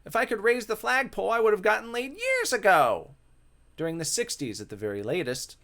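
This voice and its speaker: Man playing a theatrical old-fashioned ghost, swooping pitch. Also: sing-song, a little fast, sharp tone, heavily acted. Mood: indignant. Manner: theatrical, old-timey turns of phrase.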